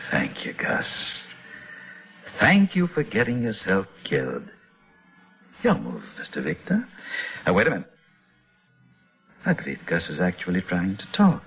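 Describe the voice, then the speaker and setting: A sadistic villain in a muffled old radio drama with music behind him. His husky, lowish voice is mocking and pompous, relishing every precise word as he gloats over the chessboard. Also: heavily acted. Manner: mocking, theatrical, precise formal diction.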